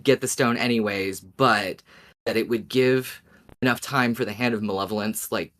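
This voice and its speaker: A man with a somewhat low-pitched voice speaks as a podcast co-host. His delivery is casual and conversational, like someone talking through ideas with a fellow fan. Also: steady tone, moderate pace, fairly bright tone.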